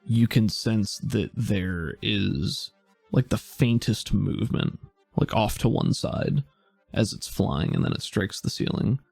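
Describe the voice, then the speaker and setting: A man running a tabletop game with a low, gravelly voice. He talks in a relaxed, halting way, full of fillers and restarts. Faint music plays behind him. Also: no echo. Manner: relaxed, hesitant, thinks aloud with fillers. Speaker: game master.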